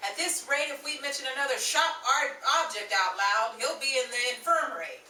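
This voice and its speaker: A woman acting out a quick-witted, wisecracking young woman in an old-time radio play, her voice high and bouncing all over the place. She is heard from a little way off the mic in an echoey room with a steady noisy hiss behind her. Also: recorded from a distance. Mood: brisk. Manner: snappy, quick-witted, theatrical. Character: Betty, brisk wisecracking young woman traveler. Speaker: cast member of an audio drama. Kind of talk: 1930s-style radio acting.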